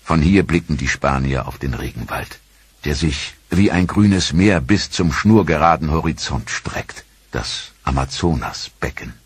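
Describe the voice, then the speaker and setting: A man narrating a history documentary in a measured, even storytelling delivery. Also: extremely low pitch, slightly rough, no echo.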